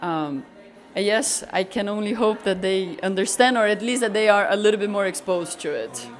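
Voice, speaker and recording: A woman photographer from Brazil talks through her work in a bright gallery. She speaks thoughtfully and often stops to search for the right word. Her voice is picked up on a handheld mic that the interviewer holds out to her. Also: mid-range voice, animated. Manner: thoughtful, searching for words with pauses. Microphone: handheld mic held by interviewer.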